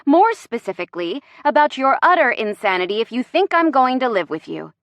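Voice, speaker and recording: A woman's voice: fairly high pitch, lively intonation, normal speed, fairly bright tone, no echo, pitched above the performer's own voice. A woman voicing an impulsive, emotional young woman, the heroine of a romance story, with emphatic delivery. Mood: exasperated. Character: impulsive, dramatic, apologetic young heroine in love.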